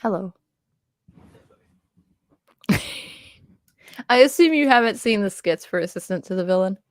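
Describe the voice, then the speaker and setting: A woman's voice: medium pitch, expressive, measured pace, dry recording, studio quality. A young woman co-hosting a casual chat, rambling and filling her talk with "like". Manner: rambling, filler-heavy, says "like" constantly. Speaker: co-host. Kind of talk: casual chat between friends.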